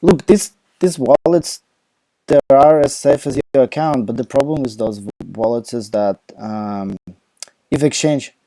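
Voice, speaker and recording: A man's voice: mid-range voice, unhurried, warm tone, dry recording, poor audio. A young man with a Ukrainian accent hosting a casual live Q&A from home on a laptop mic, rambling with lots of fillers and restarts. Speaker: crypto live Q&A host.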